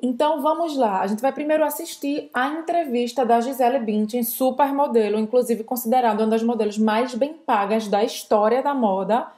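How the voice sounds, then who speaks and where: A Brazilian woman with a Brazilian Portuguese accent, co-hosting a casual language lesson in a lively, explanatory way. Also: on the high side, fairly quick, hardly any pauses. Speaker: French teacher, co-host.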